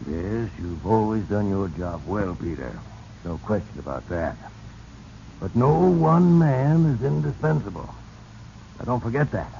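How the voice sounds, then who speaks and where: Smug executive, lowish creaky voice, condescending, muffled vintage radio recording. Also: male voice, animated, dark tone, slight background noise. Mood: patronizing, firm. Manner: condescending, measured. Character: smug, patronizing male company boss, quietly threatening. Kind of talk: 1940s radio drama acting.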